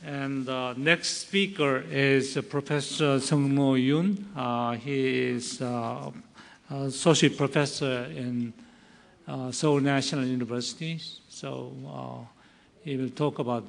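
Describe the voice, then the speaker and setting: A man chairing a conference session, introducing speakers formally in a halting way, searching for his words. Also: medium pitch, steady tone, vocal fry. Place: large conference hall. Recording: echoey hall with long reverb.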